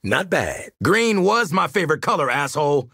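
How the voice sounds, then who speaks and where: An actor playing a brash, sardonic, streetwise male marksman in a video game, husky-voiced, barking slangy orders and banter at his squad. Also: on the high side, sing-song, normal speed, pushed and bright, dry recording, studio quality, full character voice. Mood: wry, irritated. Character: cocky, gruff, streetwise male marksman mercenary leading a squad.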